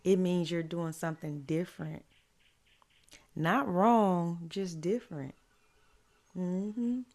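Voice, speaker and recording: Raspy woman, life coach, slightly hoarse, unhurried delivery, lower voice, repeats key points. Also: darkish tone. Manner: slow, repeats key lines, affirming "mm-hmm" asides. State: voice a bit hoarse. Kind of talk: encouraging faith-based coaching talk.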